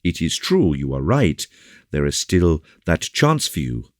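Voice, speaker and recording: A man's voice: a bass voice, big pitch swings, a little slow, no room sound, clean studio sound, exaggerated voice. A man voicing an earnest, courteous young officer with an eager, agitated delivery in an audiobook. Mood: relieved, polite. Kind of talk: audiobook character dialogue.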